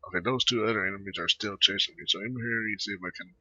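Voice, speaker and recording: A man giving casual, halting gameplay commentary, muttering to himself as he plays. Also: lowish voice, pushed and bright, slightly rough, dry recording. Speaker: gaming video host. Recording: dull lo-fi microphone.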